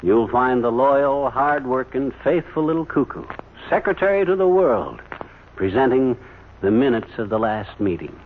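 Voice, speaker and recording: A man's voice: medium pitch, lively intonation, normal speed, narrow, tinny audio, lightly acted. A man playing a folksy, patient storyteller who spins tales for a child in homely phrases, in old-time radio comedy style. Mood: warmly dramatic. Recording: thin, hissy old radio recording.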